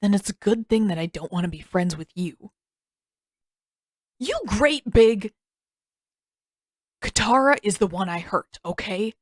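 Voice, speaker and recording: A woman's voice: mid-range voice, animated, a little slow, long pauses, dry recording. A woman voicing a tired, sullen teenage prince in an audiobook story, terse, defensive and sarcastic.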